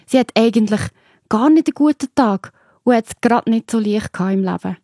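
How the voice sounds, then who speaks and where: A woman's voice: a little high, lively intonation, a little fast, dark tone, dry recording, clean studio sound. A woman with a Swiss German accent narrating a scripted story for children, explaining simply and addressing the listener directly.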